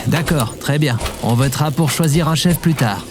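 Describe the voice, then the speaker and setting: A man's voice: a little high, normal speed, natural voice. Young male hero acted by a man, cocky, good-natured, casual, noisy background. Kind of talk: French audio adventure drama.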